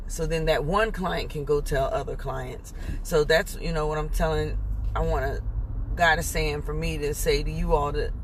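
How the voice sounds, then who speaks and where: A woman in her forties with a raspy voice, giving an earnest, encouraging devotional talk from her car, chatty and full of fillers. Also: sits low, slight background noise. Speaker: motivational worship speaker. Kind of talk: casual devotional pep talk. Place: car during a commute.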